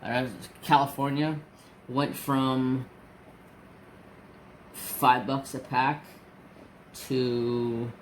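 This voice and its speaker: A man's voice: mid-range voice, little pitch movement, very slow, long pauses, a little hiss or hum, poor audio. A man in his forties chatting casually in a rambling, storytelling way while crafting. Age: 40s. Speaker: crafting vlogger.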